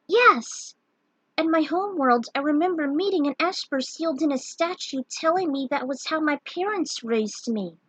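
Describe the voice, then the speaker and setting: A woman acts out a gentle young sorceress from a fantasy storybook. Her voice is very high and earnest as she explains herself, in a dry, close sound. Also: no room sound, poor audio. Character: gentle young magic-wielding heroine, earnest former slave.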